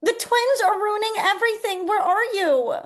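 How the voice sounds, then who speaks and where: Lady doing a bratty whiny rich girl villain, super high voice, comedy read. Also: moderate pace. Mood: distraught, whining. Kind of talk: table read of a comedy script.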